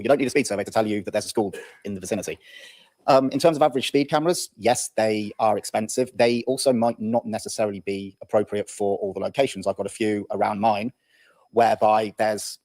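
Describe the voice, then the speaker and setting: Low, quick councillor. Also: male voice, darkish tone. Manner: matter-of-fact, practical, measured ums. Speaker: local councillor. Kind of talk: council meeting speech. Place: council chamber.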